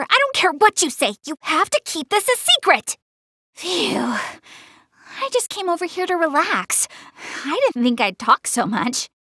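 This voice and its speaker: A woman's voice: very high voice, pushed and bright, dry recording, clean studio sound, heavily acted. A woman voicing a young anime goddess girl in a video game, proud, chatty and earnest, easily flustered. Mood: huffy. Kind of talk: English anime video game character dialogue.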